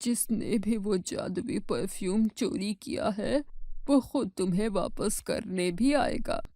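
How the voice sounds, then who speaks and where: A woman voicing a kindly forest holy man in a storybook tale, speaking in a measured, reassuring way. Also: medium pitch, warm tone, dry recording, clean studio sound. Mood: calm, reassuring.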